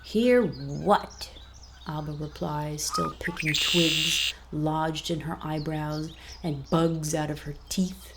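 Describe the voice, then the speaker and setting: A woman reading a scripted children's story as narrator, in an expressive, deliberate storytelling manner. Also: sits low, very noisy.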